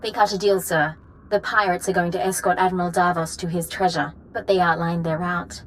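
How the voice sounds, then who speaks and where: An actress playing a loyal young military officer in a video game, with a crisp, dutiful, wry manner. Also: female voice, on the low side, level tone, fairly quick, a little hiss or hum, natural voice. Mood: brisk reporting.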